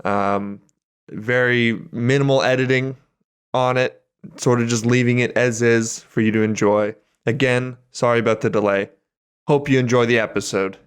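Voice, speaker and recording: A man's voice: mid-range voice, fairly even intonation, moderate pace, clear, resonant tone. A young man co-hosting a podcast, talking casually in an easygoing, reassuring and apologetic manner. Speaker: podcast co-host. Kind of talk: casual chat.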